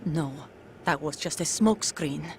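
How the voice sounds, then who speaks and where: Casting: serious female game soldier, lowish voice, controlled and confiding, faint background noise. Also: measured pace, a little mellow. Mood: guarded, urgent. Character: guarded female undercover soldier, grave mother of a stolen child. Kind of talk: dramatic video game cutscene acting.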